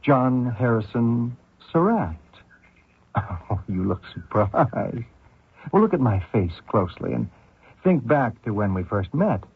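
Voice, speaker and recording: An actor playing a vengeful Canadian man hunting a fugitive, deliberate and taunting in an old radio drama. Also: mid-range voice, sing-song, creaky, breathy edge, heavily acted. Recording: muffled thin old radio recording.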